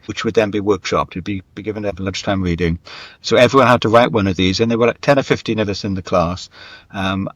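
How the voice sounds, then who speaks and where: A mature man with a low-pitched voice speaks as an interview guest. He talks in an anecdotal, conversational way, voicing imagined dialogue and repeating words for emphasis. The sound is dry and a little dull, with very little room in it. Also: no room sound. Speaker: writer and script editor interviewed as guest.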